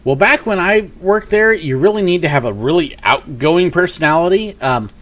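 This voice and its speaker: Man in his 40s, upbeat live-stream host, lively up-and-down delivery, fairly high voice, slight sniffle. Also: normal speed. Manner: chatty, upbeat, rambling with many fillers. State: sniffly from a cold. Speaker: YouTube host. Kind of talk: live Q&A and mail call. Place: home office with shelves of collectibles.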